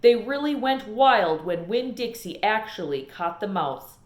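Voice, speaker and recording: A woman reading a children's novel aloud as an expressive storyteller, in an echoey room. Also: mid-range voice, unhurried. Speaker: storybook reader.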